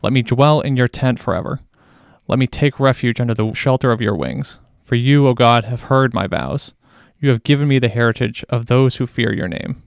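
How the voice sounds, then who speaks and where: A man's voice: medium pitch, moderate pace, gravelly, dry recording, studio quality. A man reading a psalm aloud as a scripture reader, in a solemn devotional style.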